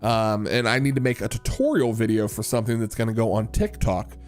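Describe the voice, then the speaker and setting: A man's voice: on the low side, fairly quick, faint music behind. A man hosting a livestream, chatting casually and rambling with many restarts as he answers viewers. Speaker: livestream host and worship leader.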